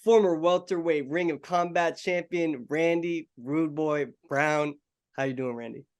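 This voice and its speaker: A young adult man conducts a sports interview in a friendly, casual way, tossing in "bro" and "man". His voice sits on the higher side for a man. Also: measured pace, clean tone.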